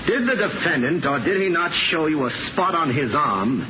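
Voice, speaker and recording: An actor in a 1950s radio drama playing a frank, insistent defense lawyer with crisp, earnest delivery. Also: male voice, on the high side, animated, moderate pace, natural voice. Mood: brisk questioning. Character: brisk male defense lawyer, frank, forceful. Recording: noisy, tinny 1950 radio recording.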